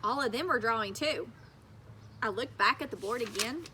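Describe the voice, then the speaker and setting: Female teacher for story read-aloud: high, bright voice, expressive narration, some background noise. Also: moderate pace.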